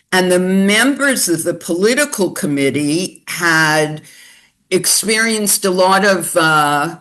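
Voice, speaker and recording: A woman's voice: fairly low pitch, fairly bright tone. An older woman with a Canadian accent, giving a conversational webinar presentation with frequent hesitations. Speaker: guest speaker.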